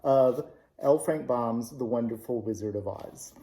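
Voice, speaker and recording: Mellow man reading a storybook aloud. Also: mid-range voice, unhurried, slight background noise. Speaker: storybook reader. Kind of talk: reading a children's novel aloud. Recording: roomy echo.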